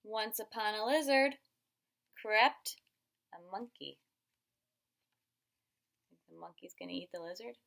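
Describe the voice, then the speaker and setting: Bright-voiced woman reading aloud to young children. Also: mid-range voice, measured pace, creaky, long pauses. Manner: expressive, instructive, stretches words for emphasis, asks questions. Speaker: teacher reading a picture book aloud to children. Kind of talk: read-aloud storytelling with questions to young children.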